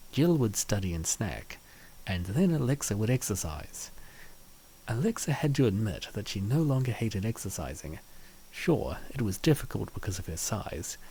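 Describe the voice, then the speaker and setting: Low, creaky-voiced man narrating. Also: a little mellow, rough recording. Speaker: narrator of a comic dub. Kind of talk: scripted story narration.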